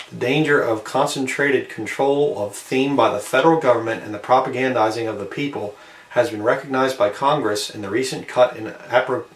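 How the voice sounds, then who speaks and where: A man's voice: medium pitch, far from the mic, slight background noise. Male video host, informal and musing as he reads and comments, roomy sound, set back from the mic. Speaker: video host reading and commenting on an old newspaper. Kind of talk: informal commentary mixed with reading aloud.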